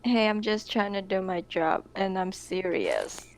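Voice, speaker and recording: A woman's voice: medium pitch, big pitch swings, measured pace, no echo. A woman playing a tabletop game over a voice call, giggling often and restarting her sentences. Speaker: player.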